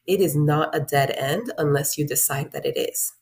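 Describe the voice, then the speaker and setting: A woman's voice: low voice, moderate pace, a little mellow. Earnest woman coach from Honduras. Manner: earnest, reflective, encouraging. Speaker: life coach guest. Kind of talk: casual podcast interview.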